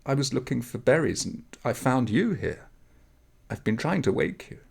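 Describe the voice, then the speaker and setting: A man's voice: medium pitch, big pitch swings, normal speed, vocal fry, darkish tone, husky, voice pushed up, full character voice. A man reading a storybook, voicing a kind, curious, slightly anxious village girl who speaks earnestly. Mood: friendly. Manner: urging, questioning, earnest.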